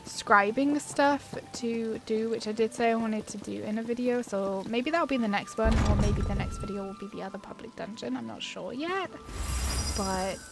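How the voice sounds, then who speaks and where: A woman with a fairly high voice gives casual let's play commentary. She is chatty and informal, thinking out loud through the game as its host. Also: moderate pace, creaky, music in the background. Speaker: gaming video host.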